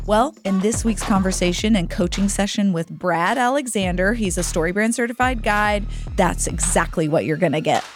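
Woman, middle-aged, podcast co-host, friendly, conversational, medium pitch, light music underneath. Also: normal speed, hardly any pauses.

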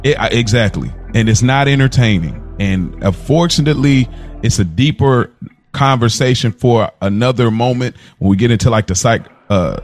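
A man co-hosting a podcast, speaking earnestly in long run-on stretches and repeating himself as he builds a point. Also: medium pitch. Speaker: podcast co-host. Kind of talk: casual chat.

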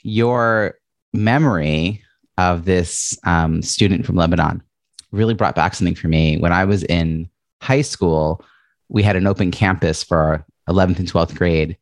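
A male podcast host, chatty and expressive, introducing and talking with a guest. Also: deep voice, measured pace, no room sound. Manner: chatty, says he has a loud voice and big presence. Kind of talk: interview podcast.

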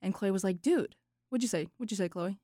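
A woman's voice: mid-range voice, fast, dark tone, no room sound, studio quality. A young woman, a band singer being interviewed, chatting casually and playfully telling stories. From Charlotte area, North Carolina, US. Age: young adult. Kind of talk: casual chat, playful storytelling.

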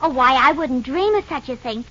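An actress playing a chatty, sassy band musician in a 1940s radio drama, with an American accent and affected refinement that slips into slang. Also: female voice, high voice, animated, moderate pace, fairly bright tone. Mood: confiding. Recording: dull old radio broadcast recording.

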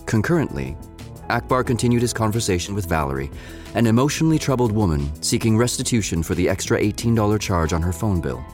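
Male story narrator: lowish register, measured literary delivery, clear music bed underneath. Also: a little mellow. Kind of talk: scripted storybook narration of an audio drama.

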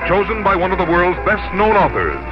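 A man announces formally in 1950s radio style. His voice is slightly high and very animated, on a dull old broadcast recording with faint music. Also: a little fast.

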